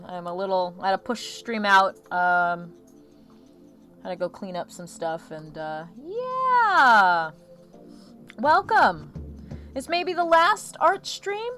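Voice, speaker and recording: A woman's voice: medium pitch, fairly bright tone. A woman hosting a livestream in casual, rambling chat that often interrupts itself, with a slight lisp. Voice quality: slight lisp from a missing molar. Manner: rambling, chatty, self-interrupting. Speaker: streamer hosting an art livestream. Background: lo-fi music track.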